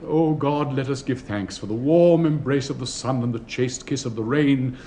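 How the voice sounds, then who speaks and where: An actor in a radio play as a pompous, sentimental older public-school headmaster with an upper-class English accent, declaiming in fussy, plummy tones. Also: male voice, medium pitch, moderate pace, dark tone, a little hiss or hum, natural voice. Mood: pompously pious. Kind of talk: theatrical British radio play acting.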